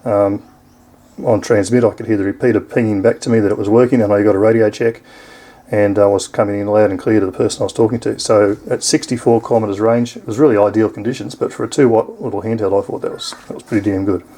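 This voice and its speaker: A man's voice: fairly low pitch, steady tone, fast talker, warm tone. An Australian man giving a casual, explanatory hands-on product review in an informal way.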